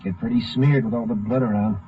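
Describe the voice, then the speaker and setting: An actor playing a plainspoken, helpful highway patrolman in a 1950s radio drama, with a clipped, folksy delivery. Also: steady tone, brisk, a little hiss or hum, close to an everyday voice. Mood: reporting briskly. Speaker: supporting actor. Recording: narrow muffled 1950 radio recording.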